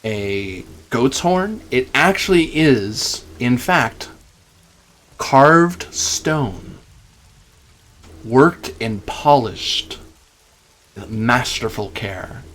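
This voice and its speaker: Man, game master, tabletop narration, slow pace, deliberate pauses, mid-range pitch. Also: sing-song, fairly bright tone, a little hiss or hum. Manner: halting, deliberate delivery with pauses.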